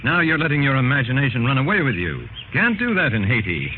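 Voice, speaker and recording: A man's voice: mid-range voice, big pitch swings, quick pace, natural voice. Muffled, knowing senior investigator acted by a man. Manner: knowing, instructive. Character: worldly, skeptical senior investigator, seasoned island hand. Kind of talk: vintage radio drama acting. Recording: muffled, hissy old radio recording.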